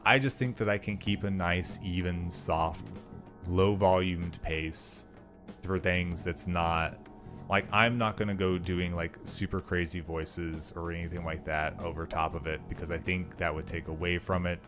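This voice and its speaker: A man's voice: sits low, steady tone, unhurried, music in the background. A young man streaming, chatting casually and rambling with lots of filler words. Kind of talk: casual livestream chat.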